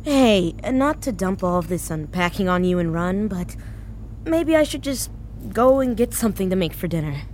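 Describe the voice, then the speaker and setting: A woman acting a grieving, anxious, self-deprecating woman in her thirties in an audio drama, speaking haltingly. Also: medium pitch, lively intonation, darkish tone, slight background noise, lightly acted. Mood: wry, casual. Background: music.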